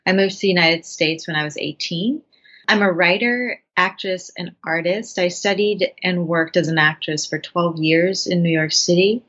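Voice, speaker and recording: A woman's voice: on the low side, normal speed, fairly bright tone. A woman in her thirties from Bangladesh, a writer and actress guest talking thoughtfully and earnestly in an interview. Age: 30s. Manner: thoughtful, earnest, with frequent restarts. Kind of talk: reflective interview talk. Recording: dull lo-fi sound.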